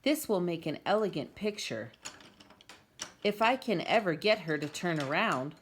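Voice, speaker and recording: Woman reading as a brisk, animated young reporter. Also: lowish voice, a little hiss or hum. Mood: knowing. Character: brisk, curious young newspaper reporter man.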